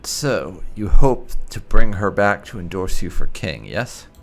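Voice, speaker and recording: A man's voice: deep voice, animated, measured pace, a bit bright, faint music behind. A man hosting a roleplay game video, giving commentary and speaking in character in a wry, musing way. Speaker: gaming video host.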